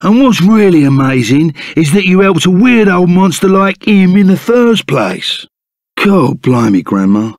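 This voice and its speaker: A man's voice: high voice, sing-song, a little slow, no echo, studio quality, full character voice. A man voicing a burly, blustering but loyal bandit sidekick in a video game, with a Cockney accent and blunt, slangy manner. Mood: concerned. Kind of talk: animated video game character acting.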